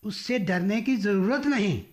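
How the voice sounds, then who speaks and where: A crafty village adviser in a radio drama. His voice sits high for a man and he takes his time, insinuating each point with smug assurance. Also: animated, a little mellow. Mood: sly, confiding. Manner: insinuating, measured. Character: sly, self-assured village schemer giving crafty advice. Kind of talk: Hindi radio play acting.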